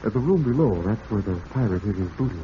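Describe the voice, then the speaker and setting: An actor playing a smooth-talking, falsely cheerful husband with murder in mind, in old-time radio drama style. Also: male voice, on the low side, animated, fast, natural voice. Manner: suave, falsely cheerful, darkly ironic. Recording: dull, noisy vintage radio recording.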